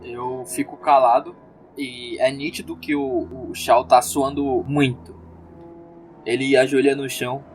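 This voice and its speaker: A man's voice: mid-range voice, little pitch movement, a little slow, faint music behind, poor audio. A man with a Brazilian accent describing his character's actions as a player in a tabletop role-playing game.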